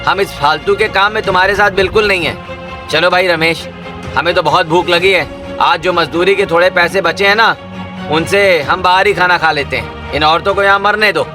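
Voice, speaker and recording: A man's voice: sits high, moderate pace, over music, close to an everyday voice. A man voicing a scornful, lazy village husband in a Hindi cartoon story.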